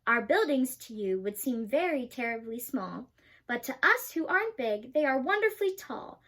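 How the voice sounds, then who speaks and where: A woman's voice: sits high, very animated, normal speed, fairly bright tone, exaggerated voice. A young woman voicing a tiny, grateful male mayor who speaks in a piping voice. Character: tiny cheerful male mayor of a speck town.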